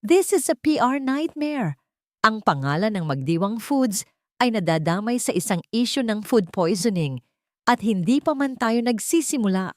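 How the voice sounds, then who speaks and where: A woman voicing a stern older male board member in a narrated story, forceful and scolding, switching between English and Tagalog. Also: a little high, big pitch swings, moderate pace, dry recording, studio quality, pitched above the performer's own voice. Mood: alarmed, scolding.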